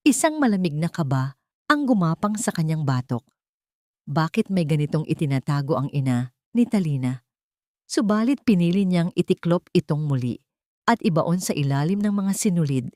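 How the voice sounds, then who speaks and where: A woman narrating a scripted dramatic story in a measured, solemn storyteller's delivery. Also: on the low side, mellow, no room sound, clean studio sound.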